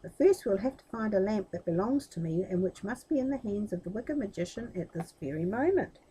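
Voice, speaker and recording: A woman's voice: mid-range voice, lively intonation, a little fast, mellow, husky, low-quality recording. A woman voicing an earnest, eager, anxious young man in love in a storybook tale.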